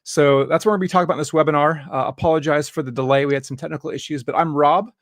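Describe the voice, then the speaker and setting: A man's voice: fairly high pitch, steady tone, very fast, no room sound, studio quality. A man hosting a webinar interview in an upbeat, friendly way, with frequent "uh" and "um" fillers. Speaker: host. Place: Dallas, Texas.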